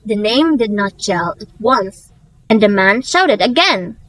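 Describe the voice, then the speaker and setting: A woman's voice: medium pitch, animated, a little slow, a bit bright, dry recording, low-quality recording. A young woman reading scripted narration for a short story. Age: young adult.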